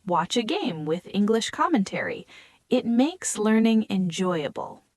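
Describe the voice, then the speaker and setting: Slow, clear woman co-host. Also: mid-range voice, sing-song, no echo. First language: German. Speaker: podcast co-host. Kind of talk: scripted learner podcast chat.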